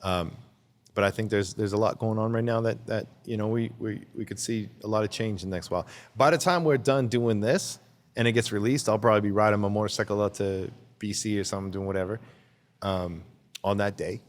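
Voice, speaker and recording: A man's voice: fairly low pitch, fairly even intonation, brisk, long reverb tail. A man talking as a guest in a casual interview, rambling and repeating words as he searches for his thoughts. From Canada.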